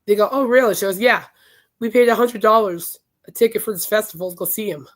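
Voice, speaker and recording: A woman hosting a podcast in casual rambling chat, her voice hoarse and raspy from being sick, telling stories with enthusiasm. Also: mid-range voice, quick pace. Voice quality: raspy, worn throat. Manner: chatty, enthusiastic storytelling. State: hoarse, voice shot from illness. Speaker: podcast host.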